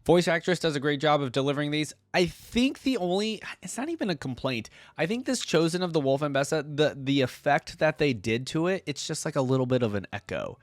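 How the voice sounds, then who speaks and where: A man's voice: on the high side, animated, a little fast, few pauses, no room sound, studio quality. A man hosting a reaction video, giving casual, rambling, conversational commentary to his viewers. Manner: conversational, rambling, peppered with "like" and "you know".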